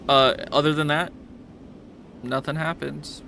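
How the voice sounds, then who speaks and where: A man's voice: fairly high pitch, flat delivery, a little slow, creaky, sharp tone, slight background noise. A man casually narrating a tabletop game as game master, with a joking, self-deprecating manner.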